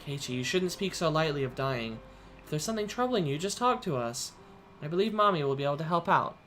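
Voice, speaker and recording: A woman's voice: sits low, animated, fast, a bit bright, slight background noise, pitched above the performer's own voice. A man voicing a caring, fussy mother who worries over her teenage son. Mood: fussing.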